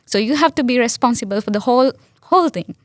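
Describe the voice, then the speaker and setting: A young Sri Lankan woman with a Sri Lankan accent and a fairly high voice answers interview questions in English, her second language after Sinhala. She talks earnestly, doubling back on her words and leaning on "like" as she goes. The sound is dry and close. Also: expressive, quick pace, no echo. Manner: earnest, repeats words and restarts, many "like" fillers. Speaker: guest.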